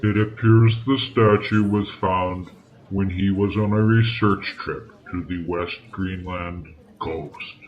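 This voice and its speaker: A man playing a learned, stern polar bear psychiatrist, speaking in a measured, formal, grave way in old-time radio style. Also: sits low, fairly even intonation. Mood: solemn. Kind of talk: old-time radio drama acting, diary narration. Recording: tinny old-radio sound with music. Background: music.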